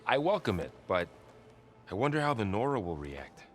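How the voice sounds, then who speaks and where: An actor playing a loyal, hopeful young warrior in a video game, soft-spoken and thoughtful. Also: male voice, lowish voice, big pitch swings, normal speed, a little hiss or hum. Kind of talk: naturalistic cinematic video game acting. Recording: game dialogue over music.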